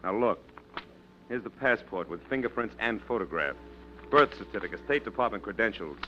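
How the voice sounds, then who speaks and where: An actor playing a smooth, smug man who claims to be her long-lost brother, breezy and mocking, in a 1940s radio drama. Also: on the low side, lively intonation, normal speed, natural voice. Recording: dull vintage radio recording with faint hiss.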